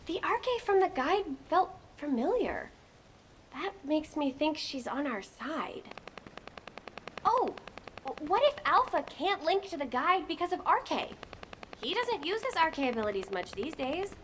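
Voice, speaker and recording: A woman's voice: high voice, sing-song, a little slow, a bit bright, slight background noise, heavily acted, poor audio. A woman playing a chatty, eager young scout in a video game. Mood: musing.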